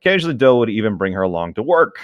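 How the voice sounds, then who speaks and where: A man's voice: on the low side, big pitch swings, racing, no echo, lo-fi sound. A man co-hosting a casual show, bantering and reading aloud informally, dropping in his own comments. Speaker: co-host reading a story aloud.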